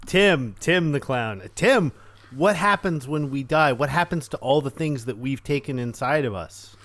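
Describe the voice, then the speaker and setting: A man's voice: a little high, lively intonation, measured pace, fairly bright tone, no room sound. A man playing a tabletop role-playing game in a casual, theatrical and wry way. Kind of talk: casual in-character role-play.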